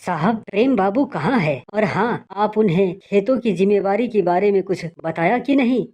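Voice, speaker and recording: A woman's voice: medium pitch, lively intonation, normal speed, warm tone, slight background noise, lightly acted. Woman as a loyal, deferential male farmhand. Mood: concerned, deferential. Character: loyal, concerned male farmhand serving the master. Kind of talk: acted moral-story dialogue.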